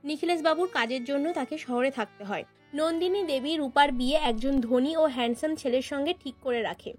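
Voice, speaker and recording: A woman's voice: sits high, a little fast, darkish tone. A woman reading scripted narration that introduces the characters of a cartoon story. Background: music.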